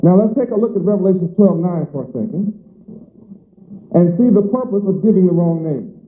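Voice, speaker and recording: Male preacher, emphatic repetitive cadence, fairly high voice, thin phone-like old recording with roomy echo. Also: lively intonation, a little fast, slight background noise. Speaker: religious teacher. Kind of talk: sermon. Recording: echoey lo-fi phone-like archive recording.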